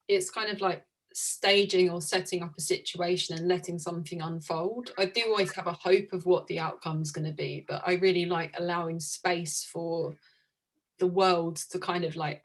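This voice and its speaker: A woman's voice: on the low side, steady tone, moderate pace, rough recording. A woman artist in conversation, reflective, often searching for words and laughing easily. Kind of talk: conversation about art.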